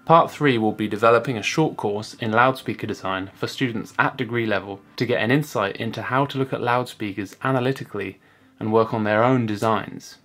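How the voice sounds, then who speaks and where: A man's voice: on the low side, brisk, a bit bright, faint music behind. A man in his thirties giving a scripted explainer to camera as a student presenting his project. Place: small indoor room at home.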